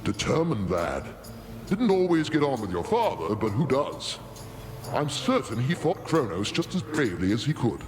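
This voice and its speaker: An actor voicing a warm, fatherly divine uncle who speaks in a hearty, old-fashioned, nostalgic way, in game dialogue. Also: medium pitch, sing-song, moderate pace, background noise, close to an everyday voice. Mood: fond. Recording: game dialogue over music.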